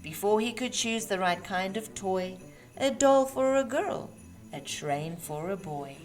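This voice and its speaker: A woman reading a rhyming children's storybook aloud with measured, emphatic phrasing for young listeners. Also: medium pitch, animated. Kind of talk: expressive read-aloud of rhyming Christmas storybook. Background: music.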